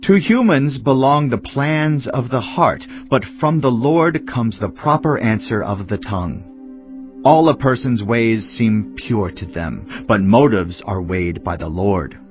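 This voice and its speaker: A man giving a dramatised reading of scripture in a solemn, measured delivery. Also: medium pitch, lively intonation, lo-fi sound. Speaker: audio Bible reader. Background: clear background music.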